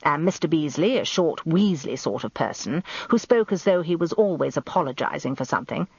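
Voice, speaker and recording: A woman's voice: low-pitched, animated, a little fast, a little mellow, slightly rough, muffled recording. An older British woman narrating a scripted radio story in a wry, composed way. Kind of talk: scripted first-person narration with acted dialogue.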